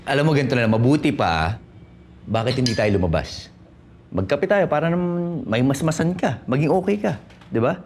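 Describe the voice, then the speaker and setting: An actor playing a caring, playful young boyfriend in a romantic drama, coaxing in casual Taglish with a Filipino accent. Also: male voice, medium pitch, animated, a little fast, a little mellow, slight background noise, close to an everyday voice. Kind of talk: naturalistic romantic TV drama acting.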